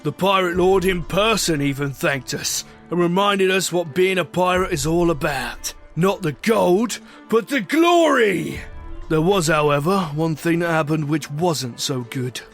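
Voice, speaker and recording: A man's voice: a little high, expressive, measured pace, fairly bright tone. A man playing a boisterous, commanding pirate captain who tells his sea tale in a dramatic storytelling style, with music behind him. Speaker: narrator and captain.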